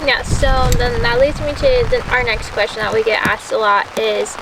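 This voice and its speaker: A woman's voice: a little high, moderate pace. A woman in her twenties in a casual vlog chat, chatty and reading questions off a list. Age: 20s. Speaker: vlogger. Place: outdoors beside a car in the rain. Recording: distant outdoor camera audio with rain noise. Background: rain and outdoor ambience.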